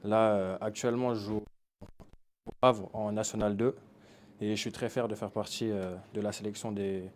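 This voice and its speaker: A young man of eighteen introducing himself as a basketball player, speaking quietly. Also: lowish voice, level tone, normal speed, a little hiss or hum. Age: young adult. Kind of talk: self-introduction.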